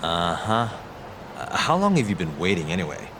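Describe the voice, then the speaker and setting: Dry, low-voiced detective, street noise. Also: male voice, wildly swooping pitch, moderate pace. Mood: dry, polite. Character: dry, laid-back male private detective, ex-lawyer. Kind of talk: English video game dub, conversational.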